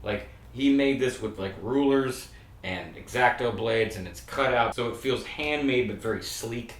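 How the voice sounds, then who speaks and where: A middle-aged man hosting a show and talking casually to camera about skateboard graphics, relaxed and rambling, with many "like" fillers. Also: mid-range voice, measured pace, distant mic. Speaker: host breaking down skateboard graphics. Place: small room indoors. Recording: roomy sound, faint room hum.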